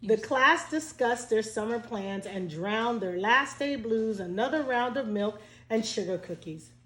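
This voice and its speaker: A woman teacher reading a storybook aloud to children, expressive and speaking directly to young listeners. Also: on the high side, unhurried. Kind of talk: storybook read-aloud with asides. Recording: echoey room.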